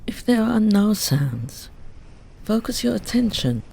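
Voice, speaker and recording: A meditation teacher gently guiding a Yoga Nidra relaxation, soothing and unhurried, with long pauses between phrases. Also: medium pitch, creaky, slightly rough. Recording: steady ambient noise under the voice. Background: ocean waves.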